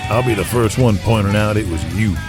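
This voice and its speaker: A man's voice: on the low side, brisk, loud music behind the voice, lightly acted. An actor playing a brash, foul-mouthed 1980s wrestling man in an audio drama. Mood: defiant. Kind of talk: audio drama acting with narration.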